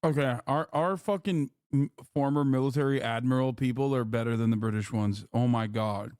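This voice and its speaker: Male live-commentary streamer: mid-pitched, slangy, sweary, mocking, recorded close and dry. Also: normal speed, darkish tone, no echo. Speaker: streamer reacting to a news clip.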